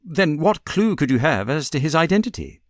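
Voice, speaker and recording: A genial Victorian gentleman companion, voiced by a male audiobook reader, British and earnest. He sounds curious and inquiring, with animated pitch. The recording is dry. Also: normal speed, no echo. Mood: puzzled, inquiring. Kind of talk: audiobook character reading.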